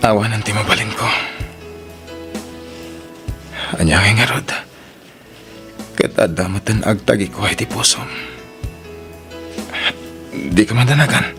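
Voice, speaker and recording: A man's voice: on the low side, moderate pace, pauses often, lightly acted. A man acting a heartbroken, devoted young man in an Ilocano romance drama, earnest and wistful, with an Ilocano accent and music behind him. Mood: resigned, wistful. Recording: music bed under the voice.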